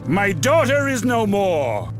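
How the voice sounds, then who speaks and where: A man's voice: high voice, huge pitch swings, slow pace, over music, heavily acted. A man playing a proud, tyrannical king in a fantasy video game, with a pompous, commanding delivery. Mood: outraged, cold. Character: tyrannical, proud king disowning his daughter.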